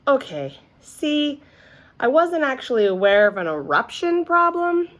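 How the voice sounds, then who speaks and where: An excitable little first-grade girl from a storybook, acted out by a woman. Her voice is pitched up, and she talks with blunt, bossy emphasis, repeating words to make her point. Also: measured pace, full character voice. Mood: objecting. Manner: emphatic, repetitive, childlike bluntness.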